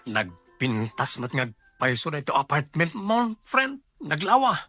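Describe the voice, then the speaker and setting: A piercingly bright, sassy friend. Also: mid-range voice, sing-song, measured pace, soft background music. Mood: teasing, blunt. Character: blunt, teasing close friend. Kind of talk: Ilocano radio drama acting.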